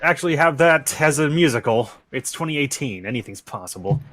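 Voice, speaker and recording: A man's voice: on the high side, lively intonation, brisk, a loud crowd. A man chatting casually and adding side comments.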